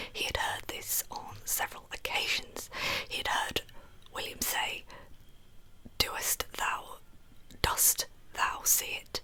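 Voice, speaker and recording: A woman narrating in a breathy, unvoiced whisper as an ASMR storyteller, with chatty, informal asides. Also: low-quality recording. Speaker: host of a true crime ASMR channel.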